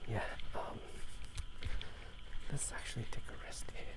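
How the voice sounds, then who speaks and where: Slow, hushed man whispering outdoors. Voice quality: soft, breathy, often whispered. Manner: hushed, halting, unhurried. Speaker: ASMR video host. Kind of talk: ASMR, rambling casual game talk. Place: outdoors at night, walking near a park. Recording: clearly noisy outdoor ambient sound. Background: outdoor ambience.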